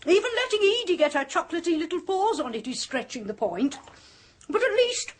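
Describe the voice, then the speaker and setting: A hammy mocking older sister. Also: female voice, very high-pitched, sing-song, brisk. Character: flamboyant hammy middle-aged actress sister. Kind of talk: 1970s radio drama acting.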